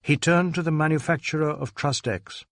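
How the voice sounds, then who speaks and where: A man reading a novel aloud as scripted narration, in a measured, formal storytelling manner. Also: on the high side, dry recording. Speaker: audiobook narrator.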